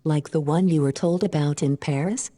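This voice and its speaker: A woman playing a curious, blunt female artificial intelligence in an audio drama, asking short, flat questions. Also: deep voice, moderate pace, in a large hall. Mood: curious, unimpressed. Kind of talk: scripted audio drama dialogue.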